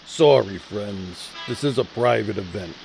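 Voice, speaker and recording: A man's voice: on the low side, expressive, measured pace, vocal fry, a little mellow, a little hiss or hum, poor audio. A man playing a big, unbudging bouncer in a noir audio drama, firm and officious. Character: large, unbudging male bouncer at gangsters' ball. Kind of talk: noir radio drama acting.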